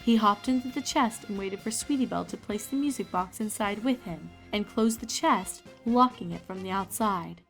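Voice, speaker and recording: A woman's voice: mid-range voice, moderate pace, creaky, hardly any pauses, a bit bright, faint music behind, rough recording. A woman reading scripted story narration as the narrator of a fan fiction.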